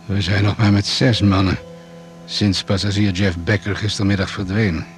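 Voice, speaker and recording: An actor playing a matter-of-fact doctor who survived a crash, measured and no-nonsense, in a Dutch radio play. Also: male voice, on the low side, level tone, slight background noise, natural voice. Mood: grave, factual.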